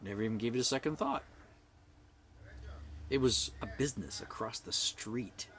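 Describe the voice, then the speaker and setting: A middle-aged man from Canada with a loud voice, ranting bluntly to camera and swinging from hushed whispers to forceful outbursts. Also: mid-range voice, moderate pace, a little hiss or hum. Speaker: vlogger. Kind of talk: personal rant to camera.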